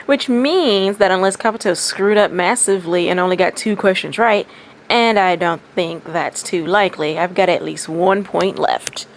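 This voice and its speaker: A woman's voice: lowish voice, natural voice. A woman voicing a cheeky, smug young ninja boy in a storybook reading, blunt and matter-of-fact. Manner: matter-of-fact, deliberately obvious. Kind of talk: storybook reading of fan fiction. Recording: clearly audible background noise.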